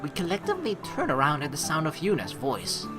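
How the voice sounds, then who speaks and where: A woman's voice: low-pitched, wildly swooping pitch, brisk, music in the background. A young woman doing playful, slangy let's play commentary and reading game narration aloud.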